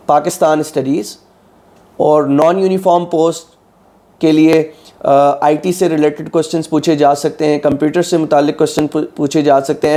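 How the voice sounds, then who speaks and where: A man's voice: on the high side, mellow. A man with a slightly sore throat, methodically teaching exam preparation and often checking in with his students. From Pakistan. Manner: methodical, repeats "ठीक है" often. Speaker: exam prep tutor. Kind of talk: instructional lecture.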